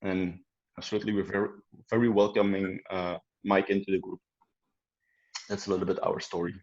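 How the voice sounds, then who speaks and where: A man's voice: sits low, flat delivery, moderate pace, a little mellow. A man talking about his team on a video call, hesitant and full of fillers. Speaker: founding team member. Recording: dull lo-fi call audio.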